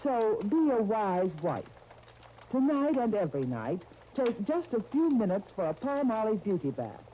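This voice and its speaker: A woman announcer coaxes the listener through a scripted 1930s radio commercial. Her high voice is soft-toned and swoops expressively, on a muffled vintage recording. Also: big pitch swings, normal speed. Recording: dull, noisy 1939 radio disc.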